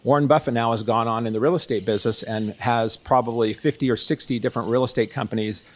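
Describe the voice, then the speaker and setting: A man's voice: mid-range voice, fairly even intonation, quick pace, a crowd in the background. A middle-aged man with an American accent, speaking as an interview guest in a conversational, explanatory way. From United States, San Diego, California. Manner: explanatory, uses everyday analogies. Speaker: guest, real estate consultant and former executive.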